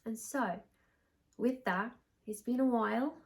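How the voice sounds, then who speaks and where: Young english girl vlogging about knitting, bit nasal and hoarse from being ill, creaky voice, rambles and restarts a lot. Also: fairly high pitch, a little slow. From England. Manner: chatty, rambling, frequent restarts. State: hoarse, congested, recovering from illness. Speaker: knitting podcast host. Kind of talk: casual chat to camera.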